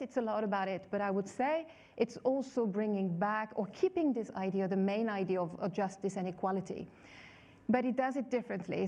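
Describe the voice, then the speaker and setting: A woman's voice: mid-range voice, warm tone. A woman professor on a conference stage, explaining her views thoughtfully with examples. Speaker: management professor, panel guest. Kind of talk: stage conversation.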